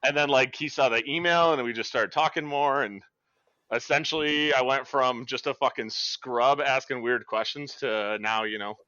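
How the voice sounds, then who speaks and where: A man's voice: medium pitch, moderate pace, pushed and bright, no room sound, highs cut off. A man who works as a strength coach, chatting on a podcast, joking, laughing and swearing casually.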